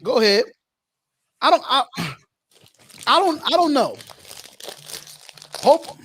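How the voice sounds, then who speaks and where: A man's voice: extremely high pitch, expressive, takes it slowly, a bit bright, slight background noise. A man hosting a casual live sports call-in stream, talking in slangy, repetitive phrases. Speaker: host of a sports call-in show.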